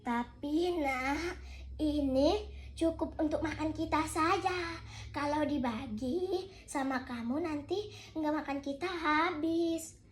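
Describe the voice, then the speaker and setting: Young woman acting a high, plaintive old mother. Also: slow. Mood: meek worry. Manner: plaintive, pleading. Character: poor, hardworking old widowed mother, patient and pleading.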